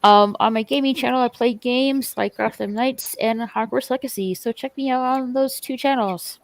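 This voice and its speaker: Woman, stream guest, hesitant filler-heavy speech, gravelly, dry sound. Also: a little high, moderate pace, no room sound. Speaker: guest content creator. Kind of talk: casual livestream chat.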